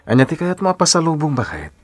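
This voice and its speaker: A man's voice: on the high side, very animated, fast talker, soft background music. A man playing a patient, devoted young husband and son in a Filipino radio drama, earnest and placating, with an Ilocano accent. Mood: affectionate.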